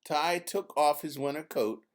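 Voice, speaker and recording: A man reading his own children's book aloud as an expressive, emphatic storyteller. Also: fairly high pitch, a little slow.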